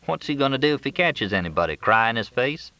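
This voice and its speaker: A man's voice: fairly low pitch, lively intonation, a little fast, pushed and bright. A man voicing a wry, complaining young working man with folksy, slangy talk in an audiobook. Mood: wry, scornful.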